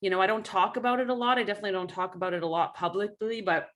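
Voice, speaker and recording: A woman hosting a podcast with her guest, her voice at a medium pitch. She talks in a chatty, encouraging way and often adds little fillers like "you know". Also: steady tone, rapid-fire, fairly bright tone. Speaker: podcast host, coach. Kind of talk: casual podcast conversation.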